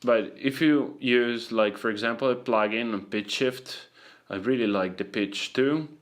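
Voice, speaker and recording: A man giving a casual, loosely phrased software tutorial as a sound designer. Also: medium pitch, measured pace.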